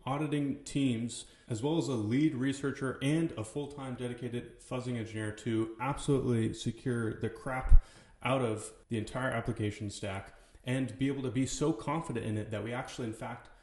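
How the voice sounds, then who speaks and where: A man's voice: medium pitch, fairly even intonation, normal speed, few pauses, darkish tone. A man hosting a podcast, speaking with casual, slangy enthusiasm in both introductions and conversation. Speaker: podcast host and company co-founder. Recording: roomy, echoey voice recording.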